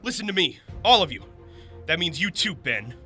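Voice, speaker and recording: A man's voice: on the high side, very animated, moderate pace, sharp tone, soft background music, exaggerated voice. A man playing an earnest, commanding hero in a comic book audio drama, declaiming as if making a speech. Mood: resolute. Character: earnest, commanding heroic leader of superhumans.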